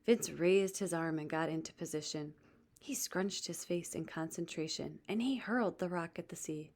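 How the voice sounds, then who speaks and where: A woman reading a children's book aloud as expressive scripted narration. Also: fairly low pitch, normal speed. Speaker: storybook reader.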